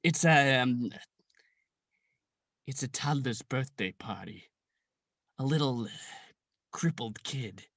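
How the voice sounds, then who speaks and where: A man acts out a jaded police sergeant in a comic audio drama. His slangy, rambling talk swoops up and down in pitch, at a middling register. The sound is close and dry. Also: expressive, slow, gravelly, pauses often, fairly bright tone, dry recording, heavily acted. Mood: deflated. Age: young adult. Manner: crude, rambling, hesitant, slangy. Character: gruff, jaded veteran male police sergeant near retirement. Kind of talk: contemporary comic radio play acting.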